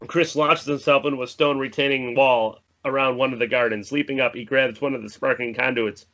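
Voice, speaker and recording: A man's voice: medium pitch, steady tone, brisk, fairly bright tone. Guy narrating stage directions at a table read, dramatic, muffled lo-fi audio.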